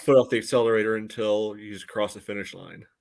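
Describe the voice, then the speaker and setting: Low-voiced man in halting sports chat. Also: fairly even intonation, vocal fry, a little mellow. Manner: halting, peppered with "you know". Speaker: podcast co-host. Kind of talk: casual sports commentary chat.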